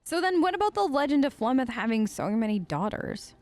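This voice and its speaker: Higher-voiced woman role-playing through a game. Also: big pitch swings, brisk, a little mellow, dry recording. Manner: conversational, informal, reacting in character. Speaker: let's play streamer. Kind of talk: casual improvised role-play in a game playthrough.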